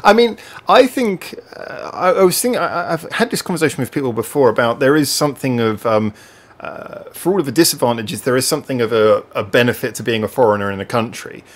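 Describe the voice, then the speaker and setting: A man's voice: medium pitch, fast talker. English man chatting casually on a video call. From England. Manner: rambling, self-correcting, laughs often. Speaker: podcast host. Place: home room, indoors. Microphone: webcam on a video call.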